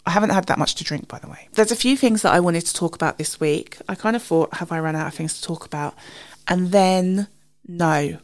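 A British woman hosting a casual, chatty solo podcast, slightly husky and sniffly from a cold, informal and self-deprecating. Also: fairly low pitch, a little fast. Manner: informal, self-deprecating, recounts conversations in quoted dialogue. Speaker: podcast host.

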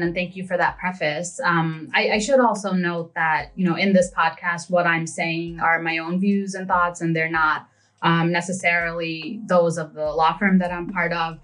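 New woman, lawyer on a podcast, lowish voice, calm and careful. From Bangladesh, raised in Brooklyn. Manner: measured, thoughtful with soft fillers. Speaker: guest, lawyer. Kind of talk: podcast interview.

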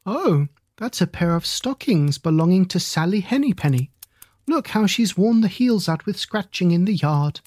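A woman's voice: on the low side, expressive, moderate pace, a little mellow. A woman voicing a stout, anxious female hedgehog washerwoman who talks in a deferential, old-fashioned polite way. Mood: fussy polite.

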